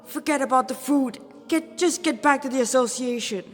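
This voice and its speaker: A woman's voice: sits high, normal speed, big-room sound, pitched above the performer's own voice. A woman voicing a wounded, protective older male demon hunter in a story, speaking with strained effort. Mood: urgent.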